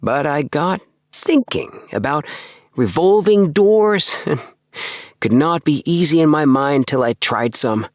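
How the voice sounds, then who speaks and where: A man voicing an excitable, earnest young dreamer in an audiobook, with an emphatic, self-satisfied manner. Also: fairly high pitch, takes it slowly, no echo, clean studio sound, pitched above the performer's own voice. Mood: earnest, enthusiastic. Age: young adult. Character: excitable young dreamer, eager, earnest, obsessed with house plans. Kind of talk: acted audiobook dialogue.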